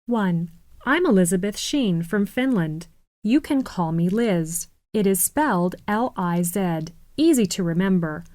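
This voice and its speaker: Female reader for a listening track, unhurried, dry studio sound. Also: mid-range voice, expressive, darkish tone, no room sound. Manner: slow, careful, clearly enunciated. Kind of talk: scripted textbook listening exercise reading.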